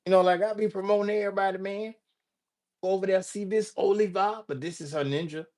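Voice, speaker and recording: A man's voice: high voice, fairly even intonation, fast talker, darkish tone. An older man hosting a casual livestream, rambling and joking as he greets viewers by name. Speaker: livestream host. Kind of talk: casual livestream chat reading viewer comments.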